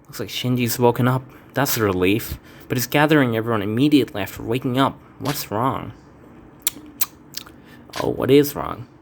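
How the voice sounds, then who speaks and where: A man's voice: mid-range voice, normal speed, gravelly, slight background noise. A man reading a fan game aloud, voicing its characters with casual asides and stumbles. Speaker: let's play fan dubber. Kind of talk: casual game playthrough with voiced dialogue.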